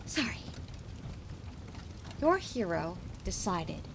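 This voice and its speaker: A woman's voice: on the low side, sing-song, slow pace, creaky, background noise, full character voice. A woman playing an unhinged, gleeful female supervillain in an ASMR roleplay, teasing a captive in a theatrical, giggly sing-song way. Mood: bitter, angry.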